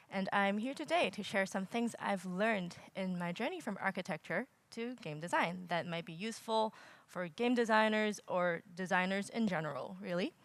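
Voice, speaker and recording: A woman's voice: medium pitch, fairly bright tone, no echo. Young woman casually presenting a design talk. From Hong Kong. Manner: casual, self-deprecating, filler-heavy explaining. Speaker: conference speaker. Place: lecture hall stage. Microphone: handheld or stage mic.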